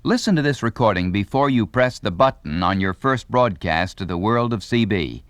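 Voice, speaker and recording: A man's voice: on the low side, lively intonation, moderate pace, no echo, studio quality. A man reading scripted instructional narration with a polished, formal announcer delivery.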